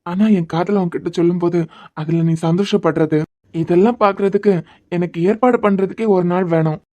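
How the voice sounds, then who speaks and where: A man playing a young man secretly in love, speaking softly and poetically. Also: high voice, fairly quick, close to an everyday voice. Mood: soothing. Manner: soft, tender and poetic. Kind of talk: romantic audio drama acting.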